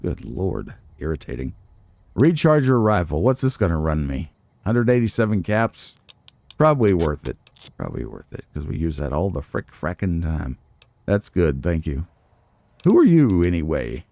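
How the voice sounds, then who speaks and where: Man, low mellow voice, very varied intonation, playful theatrical let's play host, casual. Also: huge pitch swings, normal speed, vocal fry, no room sound. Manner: playful, theatrical, talks back to game characters. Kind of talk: casual gameplay commentary.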